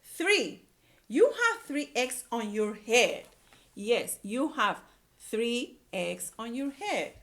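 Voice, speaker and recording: A woman's voice: fairly high pitch, very animated, slow pace, fairly bright tone. A woman teacher reading aloud to young children, using simple words, repetition and questions. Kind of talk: animated read-aloud lesson.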